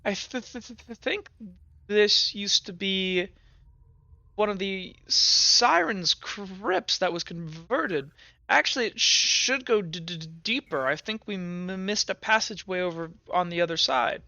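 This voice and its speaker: A game master voicing a nervous teenage boy companion who stutters and trips over his words, in somewhat higher tones than their own voice. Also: expressive, a little slow, bright, forceful tone, dry recording, lo-fi sound. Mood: uneasy. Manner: stutters and hesitates.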